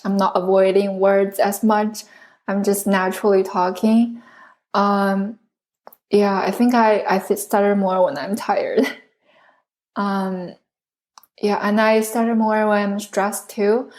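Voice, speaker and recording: A young woman, a guest in an interview, who stutters with repeated sounds and speaks in a soft, thoughtful, hedging way. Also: medium pitch. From China. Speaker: guest, speech pathology researcher. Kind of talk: conversational interview.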